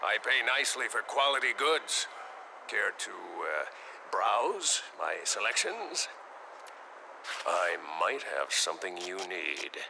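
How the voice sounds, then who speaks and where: An actor playing a welcoming male wasteland shopkeeper in a video game, gravelly, with folksy, drawling sales patter. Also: on the low side, big pitch swings, slow, background noise, full character voice. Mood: welcoming, salesy. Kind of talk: video game character acting.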